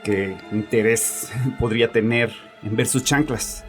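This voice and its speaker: A man acts out a grieving father in a horror audio drama, speaking in a low voice over faint music. He is agitated and domineering, with a sarcastic, defensive edge as he unburdens himself to a therapist. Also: a little slow, close to an everyday voice. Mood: haunted.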